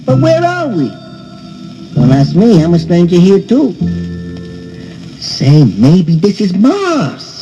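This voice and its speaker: A man voicing a naive, bumbling astronaut with deadpan, literal-minded humor in a 1960s comedy record. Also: high-pitched, slow, pauses often, lo-fi sound, over music, exaggerated voice. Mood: bewildered.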